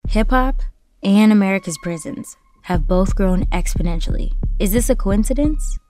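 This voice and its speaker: A woman's voice: mid-range voice, lively intonation, normal speed, a little mellow, faint music behind. A woman reading a scripted podcast promo in a punchy, emphatic way.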